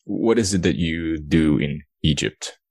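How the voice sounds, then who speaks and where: A man with a very deep voice hosts a podcast and asks his guest questions in a friendly, curious way. His voice sounds close and dry, with no room sound. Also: a little slow, darkish tone, slightly rough, dry recording. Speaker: podcast host. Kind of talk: interview hosting.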